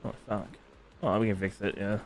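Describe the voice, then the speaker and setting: A man's voice: on the low side, little pitch movement, moderate pace, a little hiss or hum. A man giving casual, muttered playthrough commentary and swearing freely as he streams a game. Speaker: gaming streamer reacting to a game.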